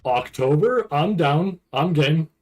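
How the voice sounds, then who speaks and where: A man's voice: on the high side, animated, slow, darkish tone. A man streaming casual live reaction commentary, thinking aloud in a rambling, freely swearing way. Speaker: streamer reacting to an anime trailer.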